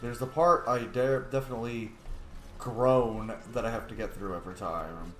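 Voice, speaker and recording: A man's voice: medium pitch, normal speed, fairly bright tone. A man casually narrating his video game playthrough, rambling and thinking aloud as host. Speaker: host, gamer. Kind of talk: casual gaming commentary. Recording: roomy sound, faint game music.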